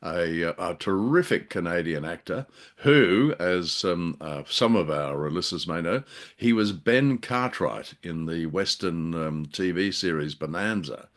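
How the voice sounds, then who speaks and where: An older man hosting a radio show, chatting casually in a deep voice, with a wry, joking and hesitant manner. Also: animated, a little slow. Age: older, about 70s. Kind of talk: casual chat with joking banter. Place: indoors, on a video call.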